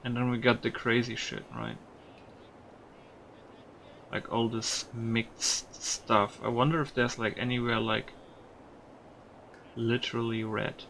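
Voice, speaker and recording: A man's voice: medium pitch, little pitch movement, slow, gravelly, long pauses, fairly bright tone, slight background noise, low-quality recording. A man giving casual video game commentary, musing aloud with some mild swearing. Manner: musing aloud, mildly profane.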